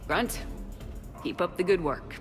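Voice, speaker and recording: An actress playing a confident, easygoing military commander in a video game, casual and assured, in a reverberant space. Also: female voice, lowish voice, animated, a little slow, faint music behind. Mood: relaxed, teasing.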